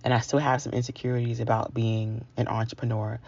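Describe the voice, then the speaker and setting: A woman's voice: rumbling low, little pitch movement, fast talker, creaky, a little mellow, lo-fi sound. A woman of around forty from New York, telling a personal story in a candid, conversational, confiding way. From New York, United States. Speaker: featured storyteller.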